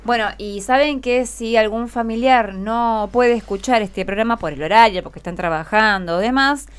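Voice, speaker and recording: A woman's voice: a little high, moderate pace, clear, resonant tone, soft background music. A woman with an Argentine accent hosting a casual radio interview, encouraging her guests with short questions. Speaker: radio host.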